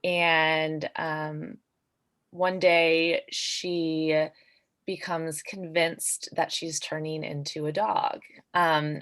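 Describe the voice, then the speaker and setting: A woman with a low voice talks as a guest author on an online panel. Her delivery is slow and even, with hesitant pauses in mid-sentence as she sums up her book. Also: smooth. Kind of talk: conversational book summary on a panel.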